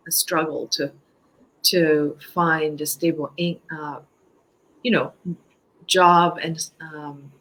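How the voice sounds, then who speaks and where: Low bright-toned Japanese-accented woman. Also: low-quality recording. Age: 50s. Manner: halting, frequent fillers and restarts. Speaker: guest. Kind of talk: casual interview chat.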